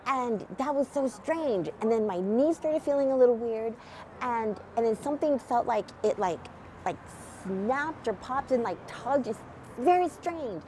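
Animated middle-aged woman with a slight cold. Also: fairly high pitch, a little slow, slight background noise, poor audio. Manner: animated, many fillers, gesturing as she talks. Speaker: nonprofit founder. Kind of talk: casual testimony to camera. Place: outdoors at a church event, near a street.

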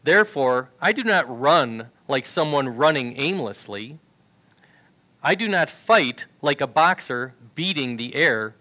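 A man's voice: medium pitch, expressive, measured pace, bright, forceful tone. A man in his sixties preaching a sermon in a church sanctuary, reading scripture aloud through a headset mic. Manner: earnest preaching, reads scripture aloud from a tablet. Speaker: pastor. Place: large church sanctuary with choir risers.

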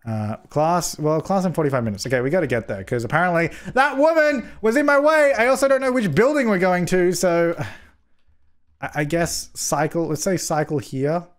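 A young man with a slightly hoarse voice from a cold, giving jokey, chatty commentary while playing a life-simulation game and scolding his characters, recorded on a headset mic at home. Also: fairly high pitch, normal speed. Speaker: gaming YouTuber. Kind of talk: casual let's-play commentary.

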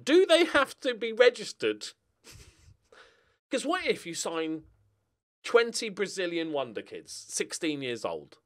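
Animated British man commentating on a game. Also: fairly high pitch. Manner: animated, jokey, rambling, swinging between muttering and exclaiming. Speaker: gaming streamer playing a football management game. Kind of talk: casual live gameplay commentary, thinking aloud.

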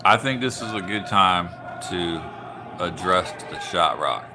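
Man, color commentator, low voice, slow measured talk, music behind. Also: animated, crowd noise. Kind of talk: sports commentary.

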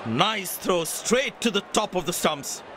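A man playing an excitable cricket commentator in a video game, calling the play in short scripted lines. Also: high-pitched, unhurried. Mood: admiring. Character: excitable male cricket commentator, full of praise. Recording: loud stadium crowd noise behind. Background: roaring cricket crowd.

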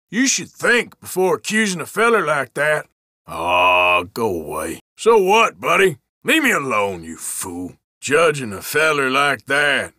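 A man's voice: a little high, pushed and bright, no echo, studio quality, full character voice. A man playing a suspicious, defiant outlaw in a Western video game, with an American Western accent and a folksy, evasive, surly drawl. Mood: indignant, surly. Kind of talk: video game character voice lines.

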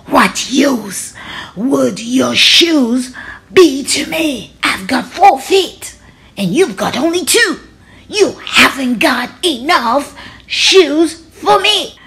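A woman's voice: sits high, animated, gravelly, fairly bright tone, voice pushed up, heavily acted. Woman putting on a vain, boastful storybook tiger. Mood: scornful. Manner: boastful, drawn-out delivery. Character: vain, menacing, dim-witted male tiger. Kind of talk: storybook read-aloud for children.

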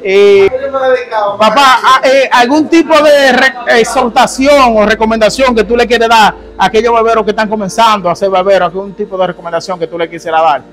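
A man in his thirties talks into a lapel mic with a fairly high voice, asking barbers questions in a small shop. He is chatty and keeps answering with quick acknowledgements. Faint music plays behind him. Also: fairly bright tone, rough recording. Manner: chatty, repeats okay and perfect often. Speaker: interviewer. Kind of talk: on-the-spot interview.

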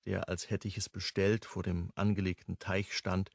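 Wry sleuth narrating a radio crime play, gravelly and husky, understated, close dry sound. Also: male voice, low-pitched, little pitch movement, normal speed, dry recording. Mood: pensive. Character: reflective, wry male amateur sleuth narrating his story. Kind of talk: first-person radio crime drama narration.

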